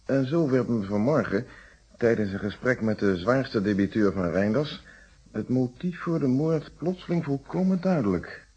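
Husky, firm police inspector. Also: male voice, medium pitch, expressive, normal speed, darkish tone. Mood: reflective. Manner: articulate, formal, explanatory. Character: calm, principled male police inspector, middle-aged, firm and methodical. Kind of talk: Dutch radio detective play acting. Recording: dull, muffled old radio recording.

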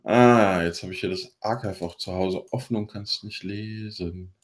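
A man co-hosting a podcast, commenting on news in a halting way as he reads from his notes. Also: fairly low pitch. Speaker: podcast co-host.

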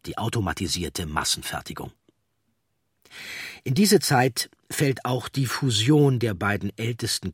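A man reading scripted documentary narration in a measured, deliberate way. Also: deep voice, breathy edge, no room sound, studio quality.

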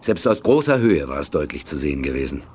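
A man's voice: very deep voice, sing-song, fast talker, darkish tone, slight background noise. A man reading scripted narration for a German audio drama.

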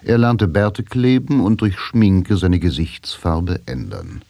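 A man's voice: deep voice, big pitch swings, takes it slowly, warm tone, no room sound. A man delivering scripted narration for a radio play. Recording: clear music behind the voice.

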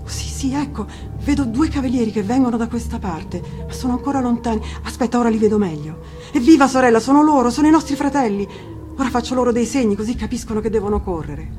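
Woman acting high-voiced, agitated lookout sister. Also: expressive, quick pace, lightly acted. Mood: excited. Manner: agitated, calling out observations. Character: agitated elder sister keeping watch from a tower. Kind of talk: dramatic fairy-tale reading. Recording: music under the voice.

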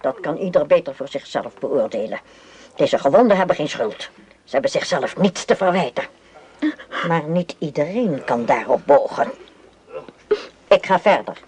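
A woman's voice: deep voice, animated, normal speed, pauses often, a little mellow. A woman playing a stern, moralizing madame in a wartime radio play, pointed and reproachful. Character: stern, moralizing society madame, tender to those she favours. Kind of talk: radio drama acting.

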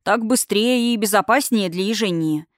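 A woman voicing an eager, friendly fifteen-year-old shifter boy with an excitable, impatient manner in a fantasy audiobook. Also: mid-range voice, moderate pace, no room sound, studio quality, close to an everyday voice.

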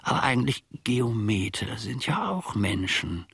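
An actor playing a pedantic, simple-minded manservant in a radio play, speaking in a ponderous, mock-learned, rambling way. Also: male voice, fairly low pitch, big pitch swings, measured pace, vocal fry, a bit bright, breathy edge, no echo, clean studio sound, heavily acted. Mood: puzzled, musing. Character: pedantic, simple-minded male servant of a philosopher. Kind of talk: German radio play acting.

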